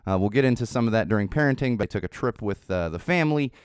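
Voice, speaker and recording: A middle-aged man hosting a solo podcast, his voice slightly raspy while it recovers, chatting in a rambling way with lots of uhs. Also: fairly low pitch, animated, very fast, no room sound, clean studio sound. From Southern California. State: recovering from lost voice. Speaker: podcast host.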